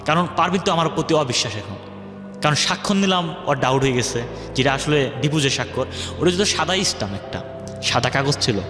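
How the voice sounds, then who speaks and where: A man's voice: mid-range voice, lively intonation, fast talker. Young man, rambling personal storytelling, reverberant hall sound, music underneath. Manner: rambling, reenacts others' words in his telling. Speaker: guest telling his life story. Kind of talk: personal storytelling on a radio show. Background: background music.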